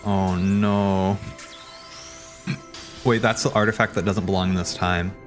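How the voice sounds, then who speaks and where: Guy with a lowish voice streaming game cutscenes, excitable n rambly, game music playing behind him. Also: measured pace. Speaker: livestream host. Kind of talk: casual livestream commentary on game cutscenes. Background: game soundtrack music.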